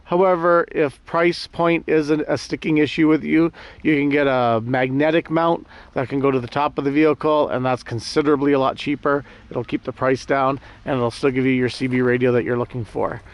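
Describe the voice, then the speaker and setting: A man's voice: mid-range voice, steady tone, normal speed, highs cut off. A man hosting a vlog, chatting casually and a bit ramblingly to his viewers as he reviews gear. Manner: chatty, rambling, addresses viewers as guys and gang. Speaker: host of a car gear video series.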